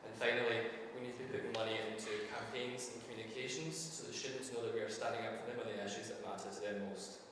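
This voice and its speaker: A young man pitches his campaign priorities fast and earnestly, his voice sitting a touch low. He is picked up from a distance in a long-reverb hall, with faint room noise behind him. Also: level tone. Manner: earnest, policy-focused, brisk. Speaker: student election candidate. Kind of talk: campaign speech at a hustings. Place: university hall. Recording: echoey hall, mic from far off.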